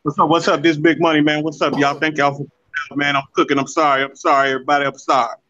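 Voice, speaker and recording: A man on a podcast call, hurried and apologetic while cooking. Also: on the high side, flat delivery, sharp tone. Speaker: podcast guest. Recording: dull lo-fi mic.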